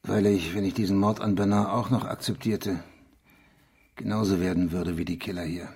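A man's voice: low voice, fairly even intonation, moderate pace, a little mellow. An actor playing a troubled, bitter village man, brooding and intense, in a German radio crime drama. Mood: bitter, pleading. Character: troubled, bitter rural Frenchman, haunted by village violence.

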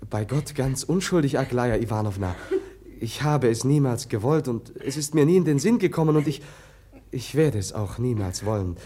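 An actor playing a meek, awkward young prince who talks hesitantly and apologetically, in 1950s radio play style. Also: male voice, medium pitch, warm tone, slight background noise, natural voice.

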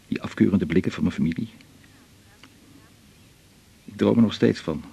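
An actor playing a melancholy man in his forties in a radio drama, with a gravelly, husky voice, confiding in a halting, reflective way. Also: deep voice, a little mellow, exaggerated voice. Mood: wistful, reflective. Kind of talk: naturalistic radio drama dialogue.

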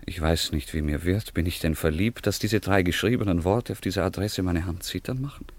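A man's voice: deep voice, a little fast, dry recording. An actor playing a proud, passionate, lovestruck young nobleman in a German radio drama, declaiming earnestly. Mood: troubled. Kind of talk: German radio play acting of a classical drama.

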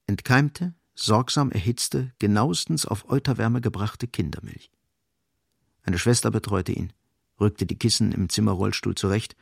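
A man narrating a literary radio play in a measured, precise storytelling manner, close to a studio microphone. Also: mid-range voice, vocal fry, darkish tone, no echo. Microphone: close, dry studio microphone.

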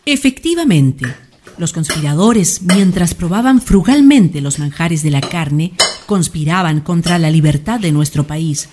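Low-voiced woman narrating radio drama. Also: lively intonation, a little slow, noisy background.

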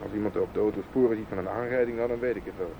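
An actor playing a rattled, evasive husband in a radio play, agitated and pleading. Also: male voice, fairly even intonation, very fast, gravelly. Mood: panicky. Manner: agitated, pleading, self-justifying. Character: rattled, evasive husband hiding a car accident. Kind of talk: Dutch radio play acting. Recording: muffled phone-like old radio recording, rumbling hiss.

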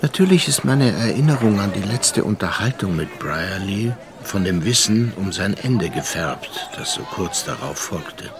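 Gravelly, reflective seafaring narrator. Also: male voice, low-pitched, lively intonation, noisy background. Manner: measured, reflective. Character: reflective seafaring narrator, worldly, sceptical gentleman. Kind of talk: radio play narration and dialogue.

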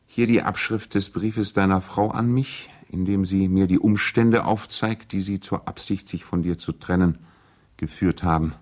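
An actor playing a puzzled, conciliatory lawyer and family friend in a radio play, measured, formal and hesitant. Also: male voice, deep voice, a little mellow. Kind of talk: radio play, letter read as monologue.

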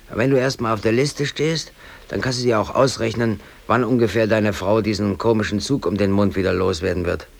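Aggrieved working man, thirties, radio drama monologue, colloquial, deep-ish, creaky voice. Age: 30s. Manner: colloquial, brooding, talking to himself. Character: aggrieved, defiant working man, hobby photographer, in police custody.

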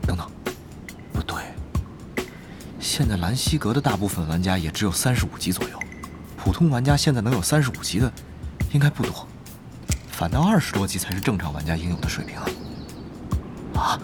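A man's voice: lowish voice, lively intonation, brisk, pauses often, over music, lightly acted. A man playing a jumpy, suspicious undercover guild spy in an audio drama, musing aloud and exclaiming. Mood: puzzled, musing. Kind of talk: audio drama acting with inner monologue.

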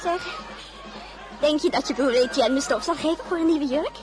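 A woman's voice: high voice, moderate pace, over music, crowd noise, close to an everyday voice. An actress playing a giddy, gossiping young woman who chatters and giggles in a radio play. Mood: chatty.

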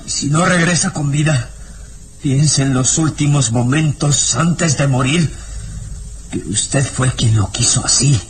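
A man's voice: medium pitch, takes it slowly, over music, natural voice. An actor playing a gaunt, drunken, frightened man who snaps bitterly, in an old radio drama. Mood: angry, menacing. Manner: jittery, snapping, bitter. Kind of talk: 1960s radio drama acting.

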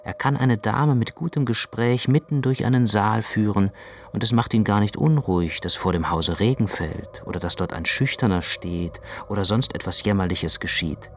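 Actor as reflective male narrator, low gravelly voice. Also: normal speed, slight background noise, natural voice. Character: reflective, self-conscious male first-person narrator. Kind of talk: literary scripted narration in German radio drama.

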